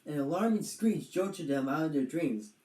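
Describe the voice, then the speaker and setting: Children's read-along narrator, expressive and scripted, mid pitch, roomy recording. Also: moderate pace. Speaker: storybook narrator.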